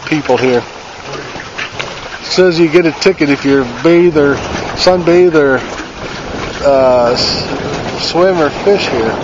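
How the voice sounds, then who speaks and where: A man's voice: a little high, slow, long pauses. A man rambling casually in a folksy, colloquial way as he films himself an outdoor video, talking as if to himself. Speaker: amateur vlogger. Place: outdoors on a windy lake pier. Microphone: handheld camera or phone. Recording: muffled outdoor phone recording, loud wind noise. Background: wind and water noise.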